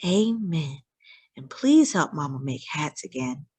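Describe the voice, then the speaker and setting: An eager young daughter from a storybook, played by a woman reader, earnest and full of hope. Her words come slowly and carefully, with a pleading, lively rise and fall. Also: sits low, breathy edge, pitched below the performer's own voice, heavily acted. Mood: hopeful pleading. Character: young girl, eager, hopeful, loving daughter.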